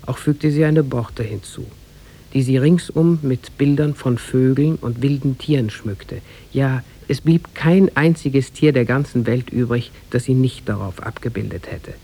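Gravelly, husky male storyteller. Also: medium pitch, mellow. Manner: measured, formal storytelling. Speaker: narrator. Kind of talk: scripted storybook narration for 1950s radio.

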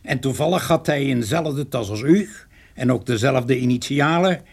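Male police inspector, radio drama: creaky, husky, clipped, sardonic interrogator. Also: mid-range voice, normal speed, darkish tone. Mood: sceptical, stern. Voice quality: gravelly, rough.

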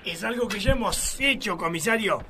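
A man's voice: sits high, expressive, moderate pace, sharp tone, a little hiss or hum, full character voice. A man playing an arrogant, scheming rich villain in a live radio play, bossy and scornful. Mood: scheming, condescending. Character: arrogant, scheming, sexist rich man plotting with a police chief.

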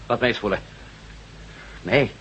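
A man's voice: on the low side, measured pace, fairly bright tone, breathy edge. An actor playing a determined, hot-tempered former astronaut in a science fiction radio drama, speaking emphatically and arguing his case. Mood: insistent. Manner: emphatic, swearing, argumentative. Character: determined, hot-tempered male ex-astronaut adventurer laying out plans. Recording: dull lo-fi radio drama recording.

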